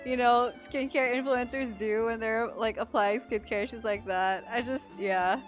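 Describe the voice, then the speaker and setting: A woman's voice: on the high side, fairly even intonation, a little slow. A woman from the Philippines giving casual, jokey, slangy commentary as she streams a game playthrough. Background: game music.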